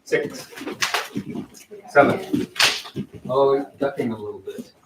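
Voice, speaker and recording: A man with a low baritone voice leads a children's karate drill, counting steadily and correcting stances at an unhurried pace. He is heard from across a large, reverberant hall with steady background noise. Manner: slow, counts drills aloud and corrects form. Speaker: guest karate instructor. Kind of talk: calling drills in a kids karate class. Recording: echoey hall, far from mic, noisy.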